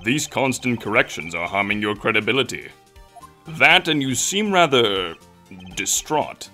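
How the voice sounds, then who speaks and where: A man's voice: medium pitch, very animated, a little slow, bright, forceful tone, faint music behind. A man voicing a solemn, pompous old courtroom judge, speaking formally in a fan-dubbed video game. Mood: stern.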